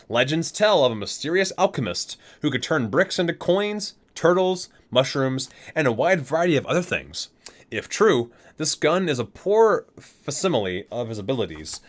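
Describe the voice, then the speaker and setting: A man hosting an ASMR video, reading aloud and dropping in casual asides, savouring the titles as he goes. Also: mid-range voice.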